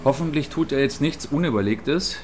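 A man playing a musing, contrarian co-commentator in a radio play, speaking in an intellectual, measured way. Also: a little high, slight background noise, natural voice. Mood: defensive. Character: wistful, philosophizing male co-commentator with contrarian sympathies.

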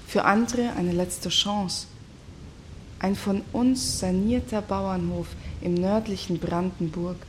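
A woman's voice: on the low side, darkish tone, a little hiss or hum, lightly acted. A woman playing a bitter, defiant dropout from a failed commune in a documentary-style audio drama monologue. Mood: wistful, sardonic.

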